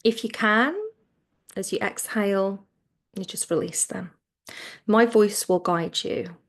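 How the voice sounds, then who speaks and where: A woman leads a guided meditation at a very unhurried pace. Her mid-pitched voice has a strong creak to it. She gives gentle, soothing instructions, letting the ends of phrases soften and fade. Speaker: yoga nidra relaxation teacher.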